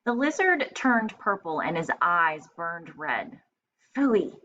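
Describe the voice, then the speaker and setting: A woman reading aloud as a teacher, narrating a storybook and pausing to explain in simple terms. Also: lowish voice, big pitch swings, bright, forceful tone, dull audio.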